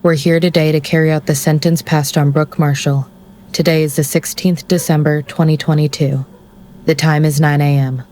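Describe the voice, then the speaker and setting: An actor playing a curt, by-the-book executioner in an audio drama, formal and deadpan. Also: mid-range voice, normal speed, close to an everyday voice.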